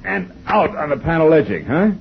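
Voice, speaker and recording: An actor playing a wry, hard-boiled private detective who narrates, in a 1950s radio drama with a dull vintage sound. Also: male voice, on the high side, very animated, moderate pace, vocal fry, noisy background. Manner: dry, laconic, wisecracking.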